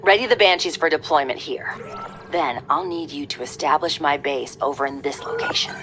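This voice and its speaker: A resolute female military operative in a game cutscene. Her delivery is no-nonsense and focused, with music clearly behind it. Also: sits low, moderate pace, a bit bright. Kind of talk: video game cutscene acting.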